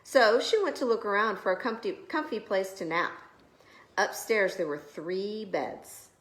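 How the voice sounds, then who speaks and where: A woman's voice: mid-range voice, measured pace, fairly bright tone, roomy sound. A woman preschool teacher reading a storybook aloud expressively to young children.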